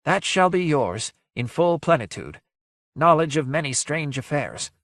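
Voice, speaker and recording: Man playing sorrowful terror spirit, raised pitch, breathless quaver, sighing menace, archaic fantasy storybook diction. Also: sing-song, unhurried, fairly bright tone, dry recording, clean studio sound, full character voice. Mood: mournful, sinister. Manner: archaic, ornate, mournful speech. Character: sad ghostly abstraction of terror, breathless and menacing.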